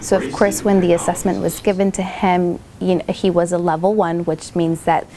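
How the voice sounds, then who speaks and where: A woman who coordinates a school program, explaining it in an interview in a measured way with fillers. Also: on the low side, flat delivery, crowd noise.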